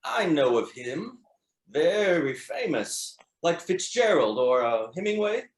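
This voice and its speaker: A man acts out a confident, playful gondolier who is proud of his city. His fairly high voice swings in pitch with expansive, teasing flair, as in a screenplay read aloud in a roomy, echoing space. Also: big pitch swings, slow. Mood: probing. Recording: echoey, roomy sound.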